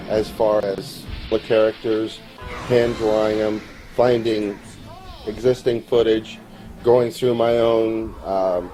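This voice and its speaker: A middle-aged man giving an earnest, measured, plain-spoken personal account in an interview. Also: mid-range voice, warm tone, rough recording. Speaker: amateur animator.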